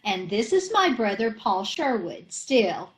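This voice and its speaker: A woman playing a scatterbrained middle-aged housewife in a radio comedy, naive and earnest, mixing up her words. She speaks slowly with a bright, high singsong lilt. The audio comes over a video call. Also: very animated. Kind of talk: old-time radio comedy acting.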